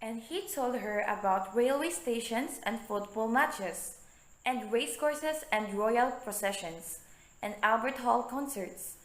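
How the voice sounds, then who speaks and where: A woman's voice: on the high side, takes it slowly. A young woman reading scripted narration for a readers' theatre story. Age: young adult. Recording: echoey room with background noise.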